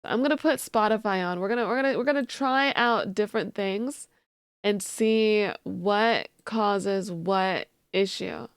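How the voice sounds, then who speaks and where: A woman's voice: medium pitch, a little slow, clean tone, no echo, studio quality. A woman streaming live who says she just woke up and has a sleepy voice, chatting casually and thanking her viewers.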